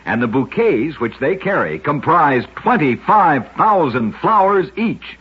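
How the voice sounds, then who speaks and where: A man's voice: a little high, big pitch swings, a little slow, slightly rough, muffled recording. A man narrating short scripted oddity stories as a radio host, in a dramatic, emphatic 1940s storytelling style, on an old radio recording.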